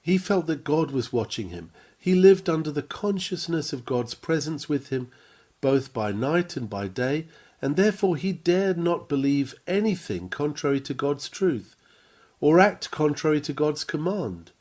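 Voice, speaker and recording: A man reading an old sermon aloud from a script, with earnest, measured and formal oratory. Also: fairly high pitch, sing-song, darkish tone. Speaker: reader of a historical sermon.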